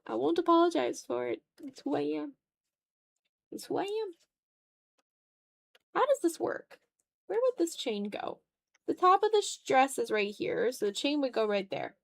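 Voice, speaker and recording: A young adult woman chatting casually on an art livestream, animated and slangy, with a self-deprecating way of talking. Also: sits high, unhurried, creaky, long pauses. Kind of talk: casual livestream chat while drawing.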